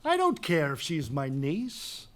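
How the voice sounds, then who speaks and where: A man's voice: a little high, wildly swooping pitch, slow, fairly bright tone, exaggerated voice. An actor playing a stern, proud, authoritarian king in a dramatic reading of a Greek tragedy, imperious and interrogating. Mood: scornful authority.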